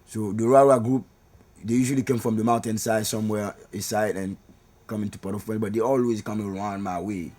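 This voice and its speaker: A man's voice: on the low side, fairly even intonation, a little fast, darkish tone. A middle-aged man from Haiti with a Haitian accent, reflective and loose with fillers as he talks in a documentary interview. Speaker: rara band member.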